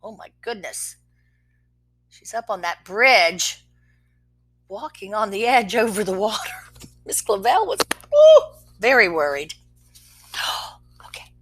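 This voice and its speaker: A woman reading a picture book aloud to children, expressive, with soft whispered asides between the verses. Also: medium pitch, slow pace, long pauses. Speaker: children's story reader.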